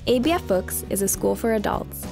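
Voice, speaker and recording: A woman reading a scripted informational voice-over in an even, measured way. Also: mid-range voice, creaky, over music. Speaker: voice-over narrator and interpreter.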